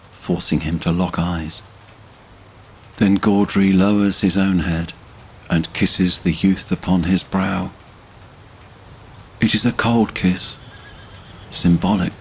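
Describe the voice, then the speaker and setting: A man narrating a literary fantasy audio drama in a solemn, measured, dramatic way. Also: low voice, a little hiss or hum.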